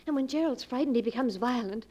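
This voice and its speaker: A woman's voice: a little high, fast talker, a little mellow, natural voice. A woman playing an anxious, pleading wife in 1940s radio melodrama style. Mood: tense, confiding. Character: fearful, anxious wife of a violent businessman. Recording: noisy old 1940s radio recording.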